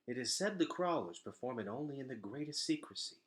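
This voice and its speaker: A man voicing a solemn, wise elder of an underground people in a storybook, speaking in a hushed, formal, measured way. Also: medium pitch, animated, lightly acted.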